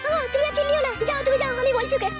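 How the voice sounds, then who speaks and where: Brief: squeaky, lecturing mum played by a woman, rapid, over light music. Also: fairly even intonation. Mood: scolding. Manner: nagging, lecturing. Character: scolding village mother, shrill and blunt.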